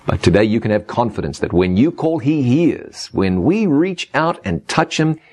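A man's voice: mid-range voice, big pitch swings, normal speed, a little mellow, dry recording, clean studio sound. A man hosting a radio Bible question show, speaking in an earnest, emphatic preaching manner.

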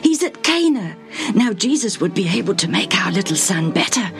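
A woman's voice: mid-range voice, sing-song, normal speed, soft background music, voice pushed up. A woman voicing a frantic father, a royal official, speaking urgently and at times in a whisper. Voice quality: breathless, urgent, sometimes whispering.

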